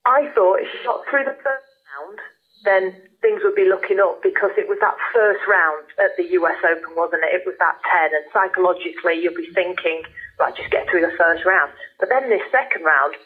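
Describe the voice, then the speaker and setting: A woman's voice: on the low side, moderate pace, husky. A woman giving conversational expert golf commentary as a guest broadcaster, over a narrow, muffled radio link. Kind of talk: conversational sports punditry.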